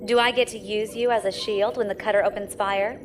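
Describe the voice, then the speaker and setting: An actress playing a dry, commanding, ambitious space pirate captain in an audio drama. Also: female voice, medium pitch, fairly even intonation, fairly quick, over music, natural voice.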